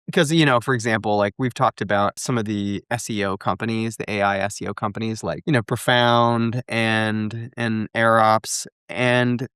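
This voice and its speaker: A man co-hosting a casual podcast, thinking aloud and leaning on "like". His voice is smooth and resonant, with a dry, close sound. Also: on the low side, fairly even intonation, moderate pace, hardly any pauses, a bit bright, dry recording. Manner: thinking aloud, heavy use of "like". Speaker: co-host.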